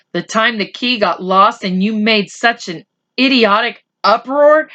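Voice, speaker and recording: A woman's voice: medium pitch, pushed and bright. A woman voicing a sarcastic, bossy schoolboy brother in a storybook reading, scornful and demanding.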